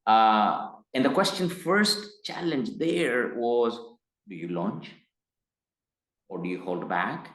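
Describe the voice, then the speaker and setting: A middle-aged man from Tanzania, speaking as an interview guest in a measured, hesitant way that turns emphatic. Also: mid-range voice, animated, a bit bright. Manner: measured, hesitant with frequent uh fillers, grows emphatic. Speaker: guest, company executive. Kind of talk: interview conversation. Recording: roomy echo.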